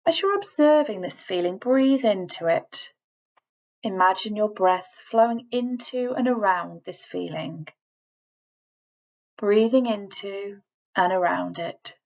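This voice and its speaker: A woman reading a scripted guided relaxation in a measured, instructing manner, leaving deliberate pauses between phrases. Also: mid-range voice, vocal fry, darkish tone, lo-fi sound. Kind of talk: scripted guided meditation voiceover.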